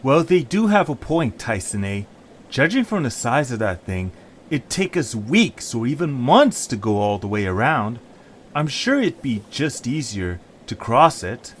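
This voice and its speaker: A man's voice: mid-range voice, unhurried, slight background noise. A man voicing a young creature hero in an audio story, casual, reasoning and a little sardonic. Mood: reasoning, defensive. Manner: casual, expressive.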